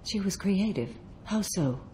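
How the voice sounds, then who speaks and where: A woman's voice: mid-range voice, expressive, unhurried, mellow, slight background noise, close to an everyday voice, poor audio. A woman voicing a stern, composed, mature alien avenger with a grave, formal delivery in a video game. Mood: grave, knowing.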